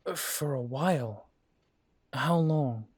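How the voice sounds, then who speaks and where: A young man playing a restless grown son coming home, halting at first and then pressing. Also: mid-range voice, darkish tone, no echo. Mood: upset. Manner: hesitant, then pressing and insistent.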